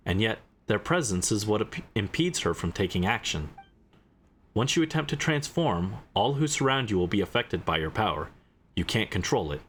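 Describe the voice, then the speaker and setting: A man voicing a composed, eloquent investigator and commander in a game, speaking in a measured, formal, deliberate way. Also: lowish voice, slight background noise. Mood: calm, accusing.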